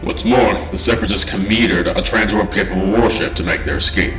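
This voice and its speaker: A man's voice: low-pitched, brisk, lightly acted. A man voicing a grave robot military commander, formal and solemn, in an amateur comic dub. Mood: grave, urgent. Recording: roomy, with music bed.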